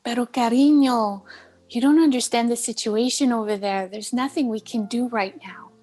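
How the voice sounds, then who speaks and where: A woman's voice: a little high, normal speed, a little mellow, faint music behind, natural voice. A woman playing a caring but firm middle-aged Cuban aunt in a play table read, coaxing and mixing in Spanish endearments. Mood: exasperated.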